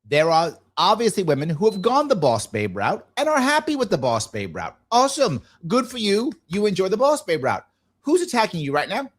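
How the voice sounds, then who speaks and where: Animated, high-pitched man giving blunt commentary. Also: normal speed. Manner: emphatic, repeats phrases for effect; blunt, argumentative. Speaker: talk show host. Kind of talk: live opinionated commentary.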